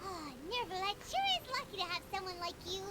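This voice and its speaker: A woman voicing a tiny, eager female fairy companion in a game, with a high, squeaky voice. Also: brisk. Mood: chirpy. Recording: game audio under a noisy stream.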